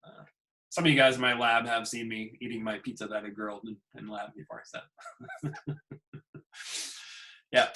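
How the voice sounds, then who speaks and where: A man's voice: medium pitch, moderate pace, pauses often, rough recording. Laid-back male instructor on a video call. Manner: relaxed, chuckling, trailing off. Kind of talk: casual class meeting chat. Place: home.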